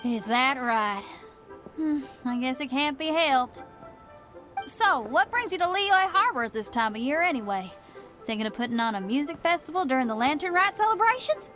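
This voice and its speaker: Game role: young rocker girl, high bright voice, spirited and upbeat. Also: lively intonation, moderate pace. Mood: curious. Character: spirited, upbeat young female rock musician. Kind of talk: video game cutscene voice acting. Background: faint game music.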